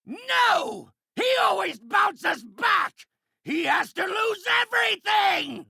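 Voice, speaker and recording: A man's voice: low voice, lively intonation, measured pace, vocal fry, a bit bright, dry recording, studio quality, full character voice. A man playing an older scientist turned bitter, vengeful villain in a video game, speaking in a theatrical, precise, erudite way. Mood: enraged, ranting. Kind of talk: video game voice acting, dramatic isolated lines.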